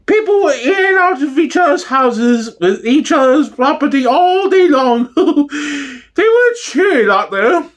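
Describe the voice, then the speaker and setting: A man's voice: very high voice, big pitch swings, unhurried, voice pushed up, exaggerated voice. A man voicing a cheery, nostalgic elderly East End woman who gossips fondly, in a comedy sketch. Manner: chatty, gossipy, blithely cheerful.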